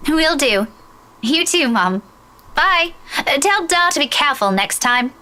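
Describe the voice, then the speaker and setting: An actress playing a young grown daughter in a visual novel, talking in a light, reassuring way. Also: female voice, high-pitched, expressive, measured pace, pushed and bright, exaggerated voice.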